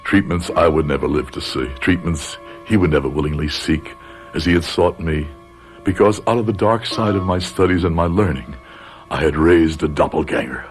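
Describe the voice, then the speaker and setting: An older professor near death, narrating a radio drama. His voice is low and grave, solemn and remorseful in measured phrases, with music clearly behind him. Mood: pained, confessional.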